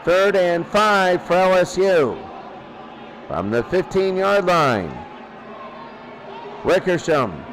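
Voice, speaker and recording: A man's voice: high-pitched, very animated, slow pace, long pauses, fairly bright tone. A man doing live radio play-by-play football commentary in clipped phrases that he often repeats. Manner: repeats phrases, clipped present-tense calls.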